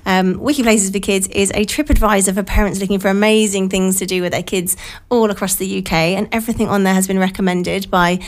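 British woman, middle-aged, mid-pitched, croaky and a little nasal with a cold, casual rambling radio chat, self-deprecating. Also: fairly even intonation, fast. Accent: British English. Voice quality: croaky, slightly nasal from a blocked nose. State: has a cold. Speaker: radio show host. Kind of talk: casual chatty radio monologue. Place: radio studio.

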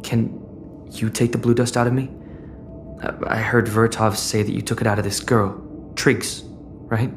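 A man's voice: fairly low pitch, flat delivery, normal speed, background noise, natural voice. An actor playing a wounded, distrustful teenage boy in a sci-fi audio drama. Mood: uneasy, pleading.

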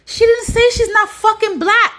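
A woman's voice: extremely high pitch, fairly even intonation, moderate pace, fairly bright tone. A woman delivering an opinionated, exasperated monologue in colloquial speech, with rhetorical questions and frequent swearing.

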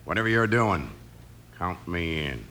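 An actor playing a big, cocky gunslinging ex-convict, menacing, in an old-time radio western. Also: male voice, deep voice, expressive, a little slow, bright, forceful tone, exaggerated voice. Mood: threatening. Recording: faintly noisy vintage radio recording.

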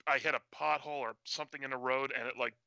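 A man's voice: medium pitch, fairly even intonation, fast talker, creaky, bright, forceful tone, clean tone, dry recording, dull audio. A man of forty-one chatting casually as a co-host, in a hesitant, self-deprecating way. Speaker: show co-host. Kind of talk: casual chat.

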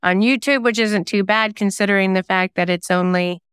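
A woman's voice: medium pitch, steady tone, normal speed, a bit bright, clear, resonant tone, no echo. A woman giving reflective, earnest answers as a guest in a conversational interview. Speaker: guest, singer-songwriter and novelist.

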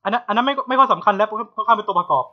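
A man's voice: sits high, very fast. A man co-hosting a podcast in casual chat, on a slightly dull, lo-fi connection. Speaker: podcast co-host.

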